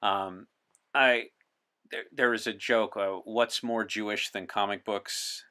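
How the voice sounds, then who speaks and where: A man's voice: lowish voice, fairly even intonation, slow, bright, forceful tone, clear, resonant tone. A man speaking as an interview guest in an articulate, explanatory and wry way. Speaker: podcast guest. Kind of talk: conversational interview talk in character as a visitor from 1964.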